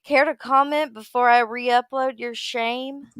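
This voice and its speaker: A woman co-hosting a casual chat, reading comments aloud and reacting in an animated way. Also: a little high, measured pace, clean tone, no echo, clean studio sound.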